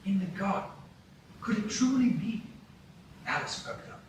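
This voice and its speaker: A man's voice: high-pitched, lively intonation, normal speed. Man narrating a storybook on stage. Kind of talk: live radio play narration. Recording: echoey stage, distant mic, noisy.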